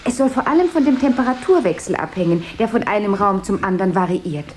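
A woman's voice: mid-range voice, expressive, fast, darkish tone, lightly acted. A woman playing a chatty, flighty, deferential secretary in a radio play, rambling on and eager to placate. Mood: chatty, placating. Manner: rambling, babbling small talk, eager to placate. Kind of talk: 1970s German radio play acting. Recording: noisy 1970s radio drama recording.

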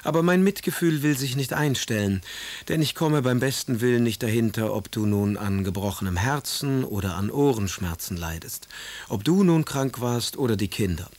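An actor playing a proud, sardonic exiled poet in a radio drama, speaking in a literary, declaiming manner. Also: male voice, on the low side, a little mellow, close to an everyday voice. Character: Romantic exiled poet, proud, sardonic, brooding husband and brother. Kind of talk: German radio drama, letters and verse.

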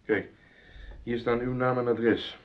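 Actor as a formal, matter-of-fact police sergeant. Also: male voice, medium pitch, little pitch movement, takes it slowly, lightly acted. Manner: formal, official, polite. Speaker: radio drama actor. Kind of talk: Dutch radio play dialogue. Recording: dull lo-fi radio play recording.

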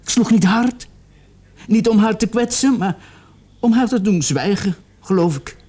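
An actor playing a distraught young man in a radio play, confessing haltingly and repeating his words in agitation. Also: sits high, darkish tone. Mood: agitated, panicky.

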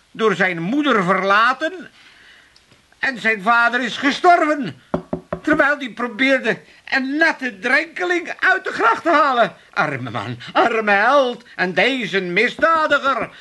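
A grumpy old Amsterdam dad from a radio comedy. His voice sits high and swings widely as he bickers and protests. The tone is bright and forceful, turning mock-pompous when he pleads. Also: sing-song, a little slow, heavily acted. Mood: indignant pleading. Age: older. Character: grumpy, blustering old working-class Amsterdam father. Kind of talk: 1980s comic radio drama acting.

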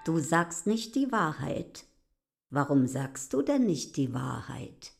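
A woman's voice: low voice, very animated, slow pace, gravelly, loud music behind the voice, heavily acted. An actress playing a haughty, suspicious inn landlady in a literary radio drama, talking in an imperious, probing, mocking way. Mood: indignant, accusing.

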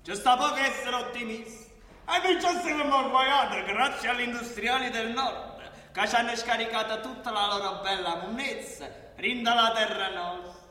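An actor playing a weary, sarcastic southern Italian local with a Neapolitan accent, muttering bitterly in colloquial dialect in a radio play. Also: male voice, very high-pitched, normal speed, sharp tone. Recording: echoey hall, far from mic.

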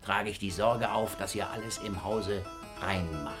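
An actor playing a fussy old miser and master of the house in a radio play, hesitant and repeating himself. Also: male voice, lowish voice, steady tone, normal speed, over music, close to an everyday voice. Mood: bossy, penny-pinching. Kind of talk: theatrical German radio play acting.

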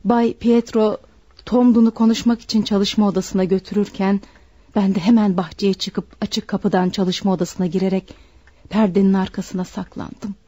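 An actress playing a desperate, bitter servant woman confessing to murder in a deliberate, dramatic radio play style. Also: mid-range voice, fairly even intonation, mellow, no room sound, lightly acted. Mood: grave confession. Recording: dull, dry radio recording.

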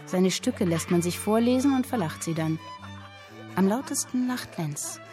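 An actress playing a woman who reads a literary tale aloud in a measured, expressive storytelling manner, in a radio drama. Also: mid-range voice, faint music behind, close to an everyday voice. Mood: measured, intent. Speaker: narrator reading a literary account. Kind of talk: dramatic literary narration in present tense.

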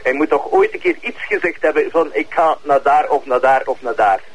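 High, animated, suspicious Flemish detective. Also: male voice, brisk, low-quality recording. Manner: pressing, repetitive. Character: suspicious, insistent male police investigator. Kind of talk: police interrogation scene in a radio drama.

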